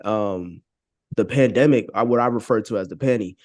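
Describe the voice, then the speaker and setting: A man as a podcast guest, chatting casually in a halting, reflective way and repeating phrases. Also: on the low side, a little mellow. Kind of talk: casual chat.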